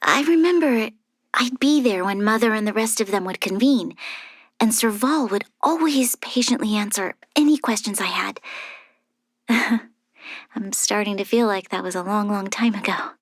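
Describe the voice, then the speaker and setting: A woman plays a young heiress and military commander from a video game, recorded close and dry. Her mid-pitched voice is composed and earnest, softly breathy at times. She speaks formally and thoughtfully, with a strong sense of duty. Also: normal speed, no room sound, natural voice. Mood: fond, wistful. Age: young adult. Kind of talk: scripted video game character voice lines.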